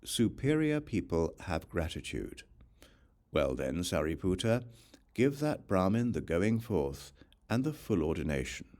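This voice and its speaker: A male narrator voices a calm, authoritative teacher of monks in a scripture audiobook. The voice is very low and solemn, instructing with an expressive rise and fall. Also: measured pace. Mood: calm, instructive.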